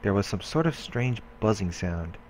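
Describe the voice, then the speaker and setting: A man's voice: lowish voice, expressive, moderate pace, a little mellow, slight background noise. A man hosting a let's play, reading game narration aloud with occasional casual asides.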